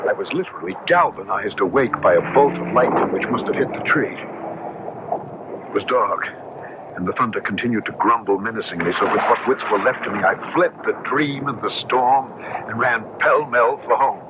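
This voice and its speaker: Haunted, melancholy husband , male actor, low voice, anguished theatrical delivery, dull vintage radio sound. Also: normal speed, heavy background noise, natural voice. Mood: grave narrating. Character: tormented gentle husband possessed, fearful, melancholy narrator of horror. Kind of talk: 1970s radio drama acting, first-person narration. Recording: dull old radio recording, music underneath.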